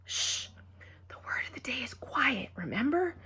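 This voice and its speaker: Storybook father, read by a woman: stern but reassuring, hushed, firm, admonishing. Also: sits high, big pitch swings, measured pace, whispered, pitched above the performer's own voice, exaggerated voice. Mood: hushing, reassuring. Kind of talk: children's storybook character voice.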